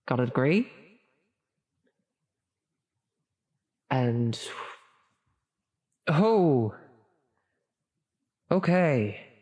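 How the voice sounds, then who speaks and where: A theatrical female demon acted out by a woman speaks in a long, cavernous reverb. She draws her words out very slowly, with grand, formal phrasing and a halting delivery. It is styled as an ASMR audio roleplay. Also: low-pitched, darkish tone, full character voice. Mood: awkward concern. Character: menacing female demon, grandiose, increasingly flustered and concerned.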